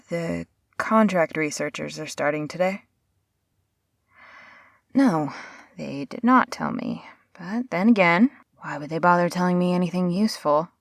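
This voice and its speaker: A woman's voice: on the low side, no room sound, clean studio sound. A woman playing a sarcastic, brusque but friendly workaholic researcher in a sci-fi audio roleplay, with a casual, wry delivery. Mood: startled, irritated.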